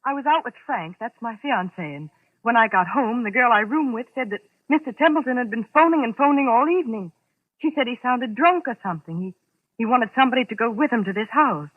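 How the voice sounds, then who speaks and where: An actress playing a nervous, hesitant young secretary in a 1940s radio suspense drama. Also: female voice, on the high side, lively intonation, a little fast, dry recording. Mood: worried. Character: anxious young female secretary caught in a mystery. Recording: muffled old radio drama, phone-like, dry.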